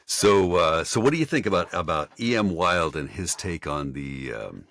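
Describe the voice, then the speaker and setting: A man hosting a feedback chat, speaking haltingly with frequent agreement noises. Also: low voice, animated, a bit bright, no room sound. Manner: halting, backchannels often. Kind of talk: casual chat.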